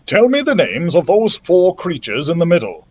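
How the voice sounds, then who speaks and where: A man reading as an excitable, learned dragon scholar. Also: fairly high pitch, normal speed. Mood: instructive. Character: learned older male dragon scholar, excitable, commanding, solemn.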